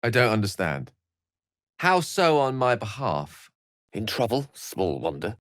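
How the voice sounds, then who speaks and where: A man's voice: fairly low pitch, big pitch swings, measured pace, a bit bright, no room sound, studio quality. A man playing an eloquent, bookish video game wizard, wry, proud and courteous, with an articulate, theatrical and refined delivery. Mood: grave. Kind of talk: video game character acting.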